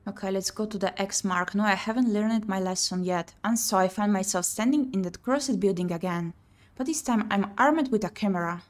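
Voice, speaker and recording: A woman streaming a visual novel playthrough, reading the text aloud and thinking out loud as she plays. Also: mid-range voice, brisk. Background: game music.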